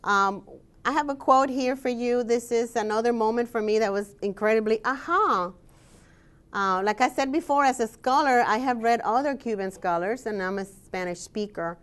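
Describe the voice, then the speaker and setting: Measured woman scholar giving a presentation. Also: on the high side. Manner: measured and explanatory, with frequent "um" pauses. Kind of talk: presentation talk with slides.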